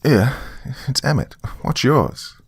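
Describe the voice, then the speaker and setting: A man's voice: medium pitch, lively intonation, slow, slightly rough, no room sound, voice pushed up, heavily acted. A man voicing a nervous, friendly child, hesitant yet eager, in a narrated story. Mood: shyly eager. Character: nervous, friendly child meeting someone new.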